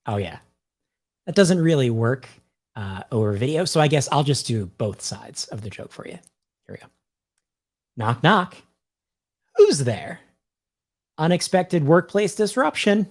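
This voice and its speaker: A man presents to camera as an author hosting his own book series. His mid-pitched voice moves widely in pitch, with deliberate pauses for effect and wry asides within a scripted, explanatory delivery. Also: husky. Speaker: author and host of a read-along book series.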